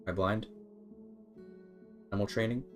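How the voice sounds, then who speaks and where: A man's voice: fairly low pitch, fairly even intonation, normal speed, soft background music. A man giving casual live gameplay commentary, rambling and repeating words as he thinks aloud. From Canada. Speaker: game streamer.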